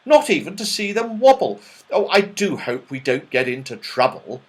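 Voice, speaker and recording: A man's voice: fairly high pitch, sing-song, moderate pace. A man voicing a sensible, cautious boy in a children's storybook, earnest and reasoning. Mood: worried, scolding.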